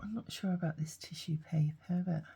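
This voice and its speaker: A middle-aged woman with a croaky voice, half-whispering to herself while making a collage. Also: deep voice, fairly even intonation, a little fast, dark tone, slight background noise. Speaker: craft video host. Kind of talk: soft-spoken crafting commentary.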